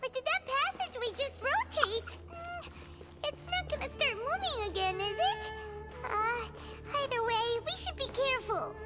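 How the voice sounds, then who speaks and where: A woman voicing a tiny, excitable female floating companion in a video game, chirpy and quick to worry. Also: very high voice, heavily acted. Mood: wary. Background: loud game music.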